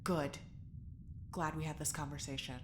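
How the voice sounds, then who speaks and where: Audio drama role, female actor: guarded, blunt woman, deep voice, clipped and unyielding, slight background rumble. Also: fairly even intonation, normal speed, natural voice. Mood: dry sarcasm. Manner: clipped, firm. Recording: faint noise with low rumble.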